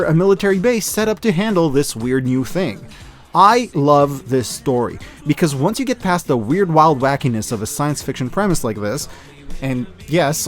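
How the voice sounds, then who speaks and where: Animated, high-pitched man reviewing media. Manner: enthusiastic, expressive, with wry asides. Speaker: online media reviewer and host. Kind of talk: scripted video essay narration, year-in-review commentary.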